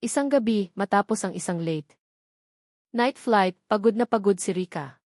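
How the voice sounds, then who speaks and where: A woman's voice: mid-range voice, expressive, moderate pace, a little mellow, no echo, clean studio sound. A woman reading a scripted romance story as a dramatic narrator.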